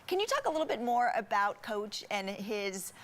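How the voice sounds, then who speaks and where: A woman's voice: medium pitch, sing-song, normal speed. A woman co-hosting a conversational TV interview, friendly and encouraging with guests. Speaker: TV co-host.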